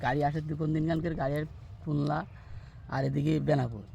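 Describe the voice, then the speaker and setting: A man's voice: fairly high pitch, fairly even intonation, fast talker, vocal fry, warm tone, husky. A man chatting casually and unscripted outdoors, as a farmer being interviewed. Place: outdoors in a guava orchard. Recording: outdoor recording, voices in background.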